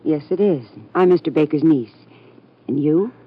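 A cool, sardonic young niece with a very low, dry delivery. This is a muffled vintage radio drama recording. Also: female voice, normal speed, slightly rough.

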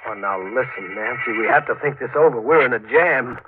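An actor playing a tense young newlywed husband with an American accent, urgent and prone to nervous stammering, in 1940s radio drama style. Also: male voice, mid-range voice, lively intonation, normal speed, over music, close to an everyday voice. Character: rattled young newlywed husband, bossy and scheming under pressure. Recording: tinny, noisy 1940s radio recording.

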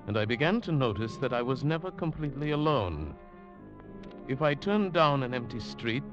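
A man's voice: mid-range voice, lightly acted. An actor playing a bewildered, haunted traveler who tells his eerie tale in an intense, hesitant, dramatic way. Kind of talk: 1940s radio drama acting and first-person narration. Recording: dull vintage radio recording with music.

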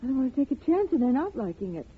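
A naive young chorus girl in an old radio drama, with a high, slightly breathy voice. She sounds earnest and anxious, pleading her case over a muffled, narrow-band vintage recording. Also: big pitch swings, racing, heavily acted. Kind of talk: 1940s radio drama acting.

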